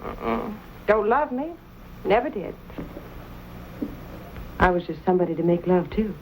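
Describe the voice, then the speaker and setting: An actress playing a proud, wounded young frontier sweetheart with a pointed manner, in an old-time western drama. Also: female voice, medium pitch, animated, measured pace, slight background noise, low-quality recording. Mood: defiant, hurt.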